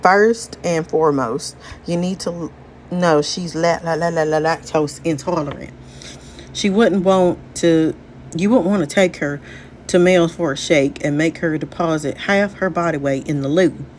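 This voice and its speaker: A woman's voice: low-pitched, unhurried, a little mellow, a little hiss or hum. A woman voicing a cocky male sidekick with a Southern US accent, handing out joking advice in a story. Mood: joshing, advising.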